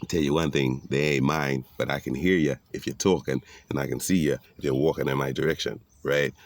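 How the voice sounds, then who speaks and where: A man's voice: a bass voice, fairly even intonation, brisk. A man speaking in a rambling, preachy monologue, streetwise and casual, mixing everyday talk with biblical references. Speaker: vlogger giving a monologue.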